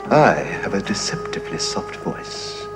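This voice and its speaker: A soft-spoken, wry war hero. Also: male voice, low voice, expressive, measured pace. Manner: dry, wry, politely ironic. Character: dry, understated male war veteran hero. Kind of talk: radio drama acting. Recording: music playing underneath.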